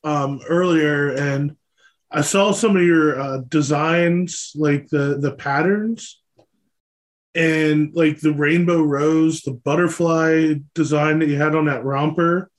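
A man's voice: a little high, steady tone, takes it slowly. An American man from Pennsylvania asking questions as a co-host in a casual interview chat.

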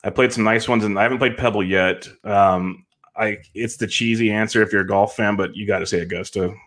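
A man's voice: fairly low pitch, steady tone, brisk, fairly bright tone. A man in his thirties from California, a podcast guest chatting casually in a rambling way and laughing often.

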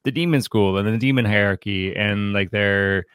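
Low-pitched, bookish man hosting a podcast. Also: a bit bright, clear, resonant tone, dry recording, clean studio sound. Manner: halting, thoughtful, bookish phrasing. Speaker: podcast host. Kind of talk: casual literary discussion.

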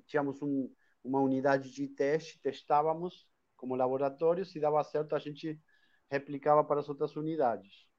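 A man's voice: on the high side, little pitch movement, normal speed, darkish tone, lo-fi sound. Argentine man talking at length. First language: Spanish. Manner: talkative, with frequent restarts and tag questions. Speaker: guest, hostel chain owner. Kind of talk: live online interview.

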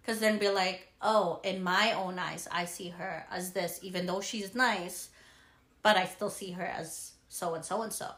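A woman in her thirties co-hosting a podcast, talking slowly and searching for words with restarts. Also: fairly low pitch, steady tone, fairly bright tone. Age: 30s. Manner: slow, searching, piles up restarts. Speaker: podcast co-host. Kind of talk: casual chat. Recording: echoey room.

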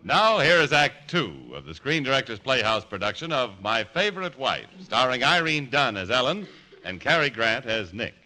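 An actor playing a florid, mock-grave announcer narrating a 1950s radio drama. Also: male voice, mid-range voice, big pitch swings, moderate pace, pushed and bright, rough recording. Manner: florid, theatrical. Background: music.

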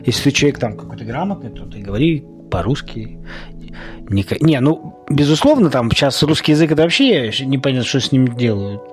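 A male podcast host speaks off the cuff at a middling pitch, arguing his opinions through rhetorical questions. His phrasing is colloquial and halting, with repeated words, and music plays behind him. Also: lively intonation. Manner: argumentative, poses rhetorical questions. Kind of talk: informal opinionated solo monologue.

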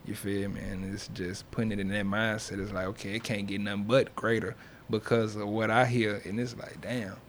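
A man's voice: on the low side, level tone, fairly quick, a little hiss or hum. A young man talking as a music artist being interviewed, in a loose, slangy, casual way. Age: young adult. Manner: slangy, loose, peppered with check-in phrases.

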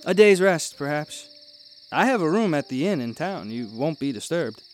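An actor playing a kindly, unflappable gentleman traveller, polite and eloquent, in a radio drama. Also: fairly high pitch, big pitch swings, moderate pace, faint music behind. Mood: gentle, persuasive.

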